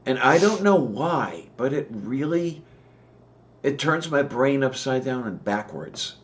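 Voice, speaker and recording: A man talks in an unhurried, easygoing conversation, pausing often and filling the gaps with "um" while he searches for words. His pace is very slow and his voice sits at a middle pitch, with no rush to any of it. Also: sing-song. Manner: slow, hesitant, many "um"s and pauses. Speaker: podcast co-host. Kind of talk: casual chat.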